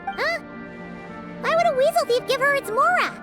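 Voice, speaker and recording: A tiny, cheerful fairy girl for a video game. Her voice is extremely high and childlike, swooping with bubbly excitement and curiosity. Prominent music plays underneath. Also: moderate pace. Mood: startled, curious. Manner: bubbly, exclaiming, speaks of herself in third person. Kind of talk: video game character dialogue.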